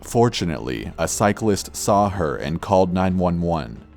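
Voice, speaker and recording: A man reading a scripted true-crime narration in a measured, somber manner. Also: sits low, very animated, vocal fry, darkish tone. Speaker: narrator of horror videos. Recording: background music under narration. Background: music.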